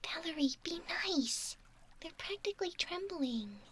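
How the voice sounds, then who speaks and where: A woman voicing a gentle, curious young mermaid in a soft, often whispery ASMR roleplay, soothing and polite. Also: extremely high pitch, animated, unhurried, a little hiss or hum, full character voice. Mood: soothing, caring.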